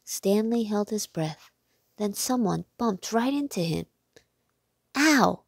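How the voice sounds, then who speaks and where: A woman reads a children's story aloud. Her pace is slow and measured, her voice has noticeable creak, and the recording is dry and close. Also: medium pitch, mellow, dry recording. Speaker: read-aloud narrator. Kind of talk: scripted children's storybook narration.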